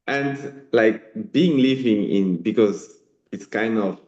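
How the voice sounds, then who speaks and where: French guy hosting an english interview, mellow dark tone, kinda muffled sound. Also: on the low side, slow. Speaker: language teacher, host.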